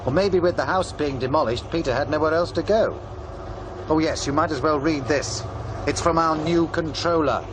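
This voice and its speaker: Actor as male radio colleague, audio drama, shrewd, sceptical, dry delivery, fairly high pitch, traffic noise. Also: normal speed, lightly acted. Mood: doubtful. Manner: dry, matter-of-fact, probing. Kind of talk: naturalistic audio drama dialogue.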